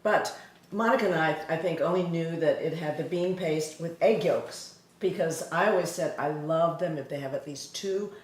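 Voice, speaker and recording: A woman's voice: low voice, moderate pace, roomy sound. Woman born in China chatting in a co-host role. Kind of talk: casual chat.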